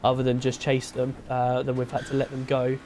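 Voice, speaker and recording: A British man playing a police officer in a game roleplay, asking questions and giving brisk orders over the radio. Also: mid-range voice, monotone, darkish tone, slight background noise.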